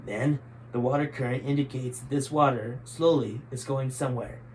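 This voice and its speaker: A man's voice: mid-range voice, steady tone, moderate pace, a little mellow, a little hiss or hum, lightly acted, rough recording. Man acting a terse, scowling army general. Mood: commanding. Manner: commanding, terse, gruff.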